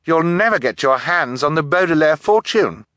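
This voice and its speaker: A man's voice: high-pitched, moderate pace, sharp tone, no room sound, studio quality, pitched above the performer's own voice. A man voicing a frightened, earnest young girl in an audiobook, urgent and imploring as he protests. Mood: urgent reasoning. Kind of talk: audiobook character voice.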